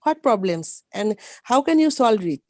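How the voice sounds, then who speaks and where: A woman's voice: medium pitch, sing-song, a little slow, a little mellow. A woman putting questions politely as an interviewer on an online panel.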